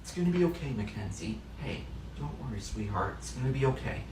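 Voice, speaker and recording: Male actor as a no-nonsense teacher with a soft side, slightly high voice, roomy sound. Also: expressive, moderate pace, background noise, natural voice. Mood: gentle, consoling. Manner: stern and scolding, then soft and consoling. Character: strict but caring male schoolteacher. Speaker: teacher in a classroom skit. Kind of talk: comedic classroom sketch. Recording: roomy echo, distant mic.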